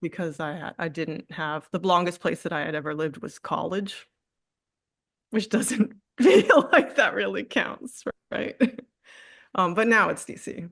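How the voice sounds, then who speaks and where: A woman artist in a candid interview, speaking reflectively with frequent fillers, restarts and soft laughs. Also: lowish voice, unhurried, creaky, dry recording, clean studio sound. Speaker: artist guest.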